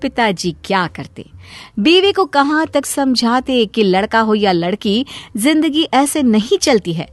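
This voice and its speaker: A woman's voice: on the high side, big pitch swings, a little slow, dry recording, soft background music. A woman narrating a scripted Hindi cartoon moral story as its storyteller.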